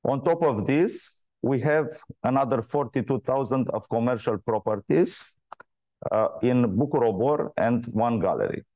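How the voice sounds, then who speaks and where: A man delivers a scripted earnings presentation with a mellow, relaxed tone. His reading is formal and measured, and the sound is close and dry, at an average pitch. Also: no echo. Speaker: company executive presenting financial results.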